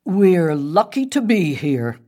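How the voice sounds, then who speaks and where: An old, experienced spruce tree from a storybook as a woman narrator acts him out, speaking slowly and on the low side. He is proud and given to boasting, with a dry, dark edge. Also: expressive. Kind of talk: storybook character voice.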